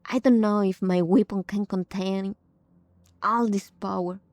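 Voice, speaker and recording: A woman's voice: medium pitch, steady tone, measured pace, darkish tone, no echo. A woman streaming a game, casually reacting to play and reading bits aloud.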